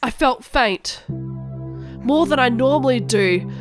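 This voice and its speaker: High-voiced melodramatic woman narrator. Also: animated, takes it slowly, faint music behind. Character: melodramatic angsty goth teenage girl telling her story. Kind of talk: dramatic first-person reading of a story for a cartoon.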